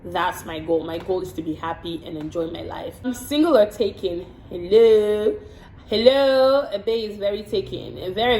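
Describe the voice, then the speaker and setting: A woman from the Congo chats frankly through a casual get-to-know-me video, reading each question aloud before answering. She often repeats a word or two while she gathers her thoughts. The room around her sounds roomy and echoey, with a faint hiss behind her. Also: mid-range voice. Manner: frank and chatty, repeats words while thinking. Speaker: vlogger and hair and makeup artist.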